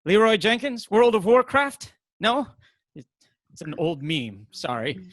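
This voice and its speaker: A man plays an impulsive, sheepishly defiant male activist in a video-call comedy. His voice is high and bright, with very little room sound. He rambles and stammers excitedly. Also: unhurried, no room sound, studio quality, full character voice. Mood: apologetic. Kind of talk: comedic stage acting over video call. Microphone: video call microphone.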